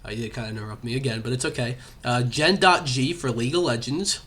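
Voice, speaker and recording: A man's voice: mid-range voice, quick pace, a bit bright. A young man hosting a casual podcast chat, rambling with lots of fillers and nursing a cough. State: has a cough. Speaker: podcast host.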